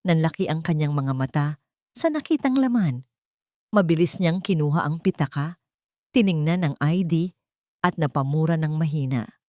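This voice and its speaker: A woman's voice: deep voice, expressive, normal speed, pauses often, darkish tone, no echo, studio quality. A woman reading a scripted romantic drama story as its narrator, in a dramatic storytelling style.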